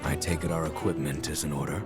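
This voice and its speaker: An actor voicing a stoic, dignified talking beast in a video game, formal and measured, with music behind him. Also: male voice, rumbling low, little pitch movement. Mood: solemn, dignified. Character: stoic, dignified male talking beast, former lab captive. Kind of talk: scripted video game dialogue. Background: loud game music.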